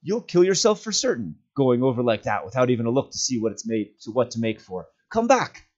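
A man's voice: mid-range voice, sing-song, a little fast, pitched above the performer's own voice. A determined young hobbit adventurer, played by a man reading a storybook aloud. He speaks earnestly and with emphasis, like someone set on his course. The recording is slightly dull. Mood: alarmed.

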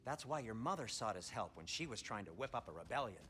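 A man's voice: fairly low pitch, sing-song, fast, sharp tone. A man playing a fussy, eager, fretful dwarf craftsman in a video game, chatty and prissy. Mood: helpful.

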